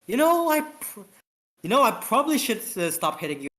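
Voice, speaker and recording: An amateur reader voicing an exasperated spaceship lieutenant from a sci-fi story, scolding in an indignant, halting way. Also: mid-range voice, very animated, fairly bright tone, voice pushed up.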